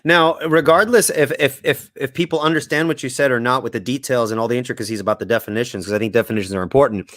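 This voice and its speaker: A man's voice: medium pitch, quick pace, hardly any pauses, a bit bright, no echo, clean studio sound. A man from Puerto Rico hosting a podcast interview, chatty and joking, with many ums and restarts. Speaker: podcast host. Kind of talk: casual interview chat.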